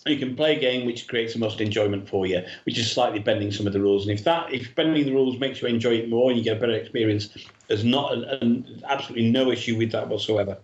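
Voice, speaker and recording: A man's voice: mid-range voice, fast. A man chatting as a guest over a remote call, talking earnestly and rambling with fillers. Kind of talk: casual chat. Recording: dull, roomy video call audio.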